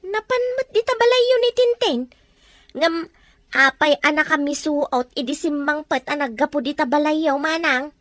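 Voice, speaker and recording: A woman's voice: high voice, normal speed, a bit bright, no echo, clean studio sound. A woman with an Ilocano accent playing a worried older mother in a radio drama, fretful and protective. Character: worried older mother fussing over her daughter. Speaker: visiting mother.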